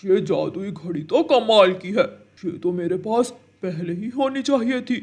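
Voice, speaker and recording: A man's voice: high voice, a little slow, darkish tone. A man voicing a scheming male crow in a children's cartoon, with an emphatic, theatrical delivery. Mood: gloating. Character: wicked, scheming male crow, bossy and greedy. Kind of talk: Hindi children's cartoon dialogue.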